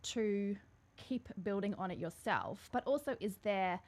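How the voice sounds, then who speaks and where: A young woman from Australia whose accent has picked up a New Zealand tinge. She talks in an easy, chatty way and pokes fun at herself, at an ordinary, mid-range pitch. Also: expressive, measured pace, a little mellow. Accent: Australian, partly New Zealand-tinged. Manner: chatty, self-deprecating, laughs easily. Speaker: podcast guest, style coach. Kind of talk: casual chat.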